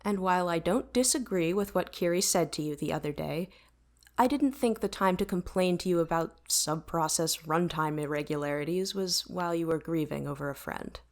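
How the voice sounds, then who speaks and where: Low-voiced, witty starship AI played by a woman. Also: moderate pace, close to an everyday voice. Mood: exasperated, dry. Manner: wordy, boastful, technical way of talking. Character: witty, vain, easily flustered starship artificial intelligence. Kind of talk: audio drama dialogue.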